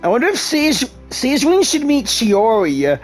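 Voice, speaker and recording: Male game streamer: casual, wry, very animated pitch, light music underneath. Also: normal speed. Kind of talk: casual gameplay reading and commentary.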